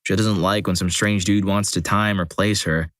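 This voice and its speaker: A man narrating a compilation of first-person stories in a steady, scripted reading, with dry, wry asides. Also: deep voice, normal speed, no room sound.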